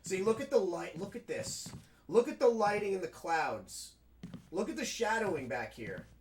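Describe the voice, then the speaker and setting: A man with a raspy, nasal New York accent, chatting casually as a game streamer while he plays. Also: sits high, big pitch swings, normal speed, slight background noise. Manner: rambling, chatty, full of fillers. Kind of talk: casual gameplay commentary.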